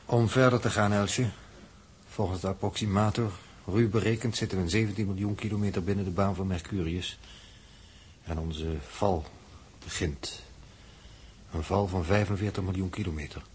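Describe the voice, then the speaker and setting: An actor playing a sober spaceship navigator in a 1960s radio play, speaking in a measured, matter-of-fact way. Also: male voice, low-pitched, steady tone, close to an everyday voice. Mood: sober, ominous. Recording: noisy old 1960s radio recording.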